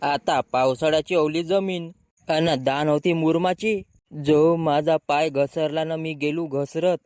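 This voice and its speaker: A man's voice: fairly high pitch, steady tone, slow, a little mellow, clear, resonant tone, no room sound, studio quality. Man voicing a gossipy cartoon village lad. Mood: comic storytelling. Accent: rural Maharashtrian. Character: gossipy young village lad telling silly mishaps.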